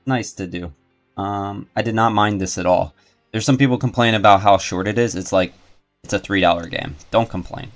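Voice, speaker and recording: Low-pitched man rambling over music. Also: moderate pace. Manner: rambling, thinking aloud. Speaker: video game streamer and host. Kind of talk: casual livestream commentary. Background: game music.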